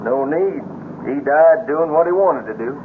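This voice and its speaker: A plain-spoken American ex-frogman in a radio play, with a country drawl and a slightly high voice. He is folksy and reassuring, heard through a thin, lightly noisy old broadcast. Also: expressive, unhurried, narrow, tinny audio. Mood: folksy, reflective. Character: folksy, easygoing male rescue diver, ex-Navy frogman. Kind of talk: radio drama acting.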